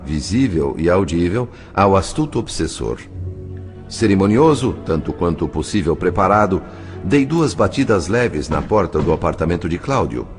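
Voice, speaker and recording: Man playing a formal brazilian spirit narrator, lowish voice, lots of expression, audio novel with music behind. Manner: formal, measured, articulate. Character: composed male spirit narrator recounting his undercover mission.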